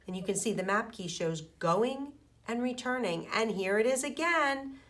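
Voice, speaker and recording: A middle-aged woman, a schoolteacher, reading a picture book aloud to young children and explaining it in simple, deliberate phrases with questions to the listeners. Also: a little high, lively intonation, fairly bright tone, a little hiss or hum. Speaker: elementary school teacher.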